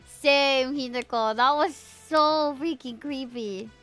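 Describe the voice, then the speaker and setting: A young woman livestreams casual game commentary in a bubbly, expressive, playful way, chatting with viewers as she plays. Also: high voice, takes it slowly, faint music behind. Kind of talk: casual gameplay commentary with chat replies.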